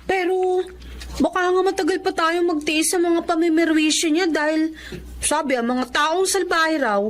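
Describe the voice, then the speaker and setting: Woman playing a plaintive, very high-voiced girl. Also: fairly even intonation, normal speed, a little hiss or hum. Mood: resigned. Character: young orphaned girl, aggrieved but respectful and firm. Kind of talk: Filipino melodrama audio acting.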